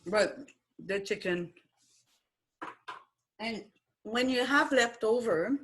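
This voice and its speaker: Slow-talking French-speaking woman, halting. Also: a little high, lively intonation, a bit bright, a little hiss or hum. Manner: halting, restarts sentences, searches for English words. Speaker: guest cook; schoolteacher. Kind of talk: casual interview chat.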